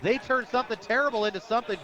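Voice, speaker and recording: A man's voice: extremely high pitch, racing, pushed and bright. An American man working as a radio play-by-play announcer, giving enthusiastic, descriptive live football commentary outdoors at a stadium. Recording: dull lo-fi outdoor radio broadcast.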